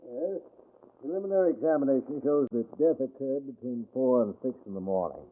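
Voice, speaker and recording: An actor playing a busy, brisk police doctor, formal and clinical, in an old-time radio crime drama. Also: male voice, mid-range voice, expressive, natural voice. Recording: muffled, narrow-band vintage radio recording.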